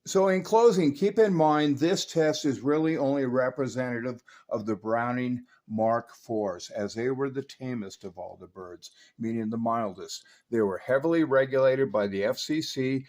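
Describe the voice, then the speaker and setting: A man's voice: mid-range voice, normal speed, hardly any pauses, a little mellow. A man narrating an instructional demonstration as a radio repair technician.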